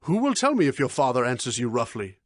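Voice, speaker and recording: An actor playing an earnest, pleading young fugitive in a dramatized scripture recording. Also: male voice, medium pitch, wildly swooping pitch, fast, dry recording, studio quality. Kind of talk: dramatized scripture audio acting.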